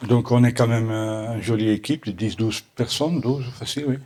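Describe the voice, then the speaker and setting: A middle-aged man from the Netherlands with a Dutch accent, answering audience questions at a conference in halting French full of restarts. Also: on the low side, a little mellow. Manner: halting, many restarts and repeated words. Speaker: botanical garden owner answering questions. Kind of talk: Q&A after a conference talk.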